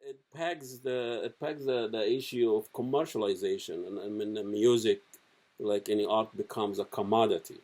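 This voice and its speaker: Halting man with a low voice. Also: a little mellow. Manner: halting, searches for words. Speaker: podcast host. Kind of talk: casual interview.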